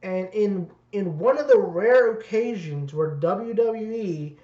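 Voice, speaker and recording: A man reviewing wrestling in a casual, rambling way, his voice raspy and worn. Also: high voice, expressive, slow pace, dark tone. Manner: rambling, many fillers and restarts. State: raspy voice from two nights of events. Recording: dull roomy lo-fi audio.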